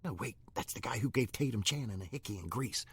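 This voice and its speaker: A hapless small-town waiter voiced by a man, husky and swooping in pitch, recorded very dry. He is stubborn yet easily panicked, stammering nervously through comic radio-play lines. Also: lively intonation, fast talker, fairly bright tone, no room sound. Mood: nervous, deferential. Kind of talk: comedy audio drama acting.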